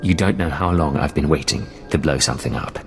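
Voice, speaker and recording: Deep, cocky rogue agent. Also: male voice, brisk. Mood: confident. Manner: confident, dry. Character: cocky, smooth-talking male undercover agent posing as pirate. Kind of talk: video game dialogue acting. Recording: reverberant hall with music behind.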